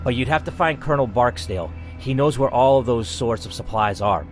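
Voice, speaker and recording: A man's voice: medium pitch, expressive, fairly quick, faint music behind, natural voice. A man voicing a terrified, guarded woman scientist in her late thirties from a horror game story. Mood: shaken, tearful. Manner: fearful, guarded.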